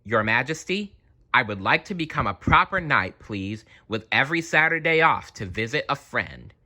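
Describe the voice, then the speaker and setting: A man's voice: medium pitch, sing-song, normal speed, sharp tone, pitched above the performer's own voice, full character voice. A man voicing a brave, kind young girl knight in a storybook, speaking earnestly and frankly. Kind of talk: storybook character voice.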